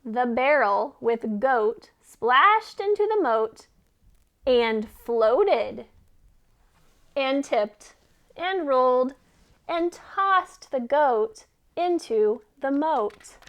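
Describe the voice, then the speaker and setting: High-voiced woman reading slowly to children. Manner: expressive, emphatic storytelling. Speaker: storyteller and teacher. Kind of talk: children's read-aloud with questions to listeners.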